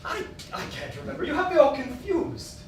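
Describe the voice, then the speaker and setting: A woman's voice: high voice, brisk, darkish tone, natural voice. A woman acting a flustered, well-meaning male friend in a stage play, exclamatory and theatrical. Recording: echoey, distant, noisy theatre stage recording.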